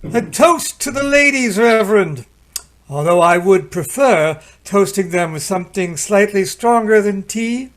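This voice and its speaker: Man as high-voiced pompous Edwardian husband. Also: expressive, unhurried. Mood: jovial. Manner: pompous, genteel, teasing. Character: jovial, opinionated Edwardian husband, patronising and provocative. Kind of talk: old-time radio drama acting.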